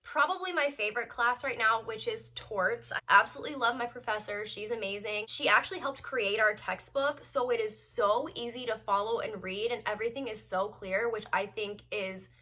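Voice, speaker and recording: A woman's voice: a little high, moderate pace, few pauses, pushed and bright, low-quality recording. A young woman in her twenties, a law student, chatting casually in a vlog update while stuffy and congested from a cold. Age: 20s. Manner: chatty and upbeat, with small laughs and fillers.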